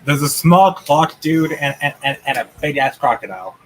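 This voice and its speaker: A man's voice: a little high, animated, normal speed, fairly bright tone, poor audio. A man chatting casually with friends while they play a game. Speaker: friend in a group game stream. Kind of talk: casual chat. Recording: faint background noise.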